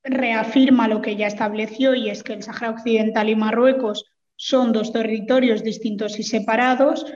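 A woman giving explanatory answers as a political interview guest, speaking in a measured, hesitant way with formal wording. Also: on the high side, steady tone, darkish tone. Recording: reverberant hall, muffled sound.

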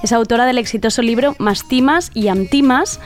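A woman hosting a radio show, chatty and enthusiastic, quick to laugh. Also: a little high. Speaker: radio host. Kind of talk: casual radio chat.

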